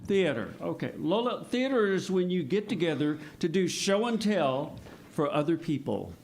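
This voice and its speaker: A man's voice: on the high side, big pitch swings, normal speed, gravelly, darkish tone. A man working as the announcer of a live audio theater show, presenting scripted material and explaining things simply and patiently for children.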